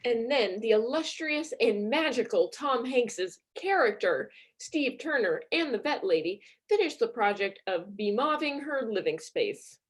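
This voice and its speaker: Husky, high, expressive woman narrator. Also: unhurried, few pauses. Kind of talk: scripted comedic narration.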